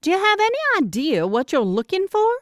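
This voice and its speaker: A woman's voice: extremely high pitch, wildly swooping pitch, brisk, no echo, studio quality, pitched above the performer's own voice, full character voice. A woman voicing a helpful female shelter receptionist in audiobook dialogue, with a polite customer-service tone.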